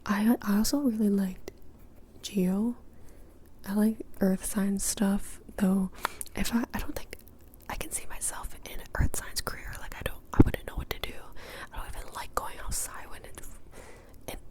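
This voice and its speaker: A young woman whispering in a breathy, casual, rambling ASMR style as she answers questions, softly repeating words now and then. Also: medium pitch, fairly even intonation, normal speed. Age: young adult. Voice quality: breathy, mostly unvoiced whisper.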